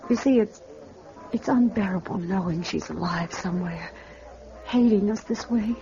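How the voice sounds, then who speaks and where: An American actress playing an anguished, well-to-do middle-aged mother in a 1950s radio drama, halting, restrained and wounded. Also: mid-range voice, mellow, close to an everyday voice. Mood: anguished, confiding. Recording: muffled old radio broadcast.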